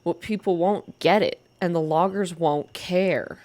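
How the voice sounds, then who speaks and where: A woman's voice: on the low side, unhurried, darkish tone. A woman voicing a cynical young female activist with a dismissive manner in a story reading.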